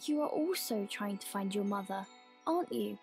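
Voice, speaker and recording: A woman's voice: mid-range voice, lively intonation, normal speed, vocal fry, darkish tone. A woman playing an earnest ten-year-old witch girl in scripted video game dialogue. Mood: sympathetic, earnest. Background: music.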